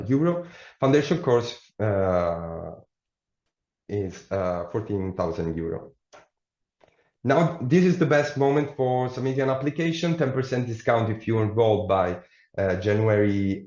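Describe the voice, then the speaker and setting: A man's voice: on the low side, a little mellow. A man presenting admissions details in an online session, with frequent hesitations. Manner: hesitant, many fillers. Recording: echoey room, dull lo-fi sound.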